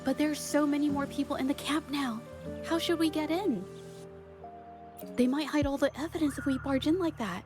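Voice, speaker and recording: An inquisitive young female investigator from a video game voiced by a woman, thoughtful and deliberate. Her voice is fairly high, with music clearly playing behind it. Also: lightly acted. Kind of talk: English video game voice acting.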